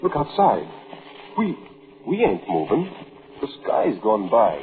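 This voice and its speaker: Awestruck simple fellow, swooping pitch. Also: male voice, huge pitch swings, heavily acted. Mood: awed. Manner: halting, uneducated grammar. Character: awestruck, simple, naive working man. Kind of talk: 1940s radio acting. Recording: noisy, muffled old radio recording.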